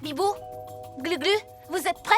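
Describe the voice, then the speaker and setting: A woman's voice: very high-pitched, a little slow. A woman voicing an eager young boy superhero in a children's cartoon, earnest and urgent. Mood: determined. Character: eager six-year-old boy superhero, determined team leader. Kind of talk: French children's cartoon acting. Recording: background music under the voice.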